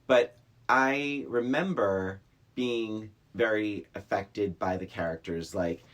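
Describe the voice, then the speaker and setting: A middle-aged podcast co-host chatting casually about movies in a halting, rambling way, often acting out past reactions. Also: mid-range voice, a bit bright. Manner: halting, rambling, self-quoting storytelling.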